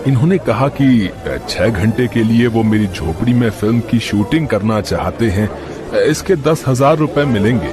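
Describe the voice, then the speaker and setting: A man playing a kindly older village uncle in a Hindi cartoon story, halting and hesitant between phrases. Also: mid-range voice, lively intonation, noisy background, close to an everyday voice. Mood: reassuring. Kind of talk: Hindi animated story voice acting.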